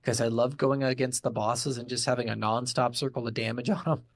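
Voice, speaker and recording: A man's voice: medium pitch, fairly even intonation, fairly quick, no echo, studio quality. A man co-hosting a podcast, chatting casually about video games in a blunt way and swearing freely. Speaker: podcast host. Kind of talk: casual video game review chat.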